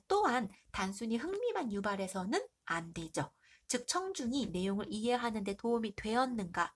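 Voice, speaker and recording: A woman teacher with a stuffy nose and a hoarse throat, reviewing for an exam and asking the class questions she then answers herself. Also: medium pitch. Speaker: middle school Korean teacher.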